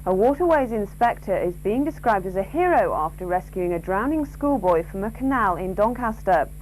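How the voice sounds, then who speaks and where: A woman's voice: medium pitch, lively intonation, normal speed, darkish tone. A woman reading a scripted regional news bulletin as a newsreader. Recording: noisy old TV broadcast, faint hum.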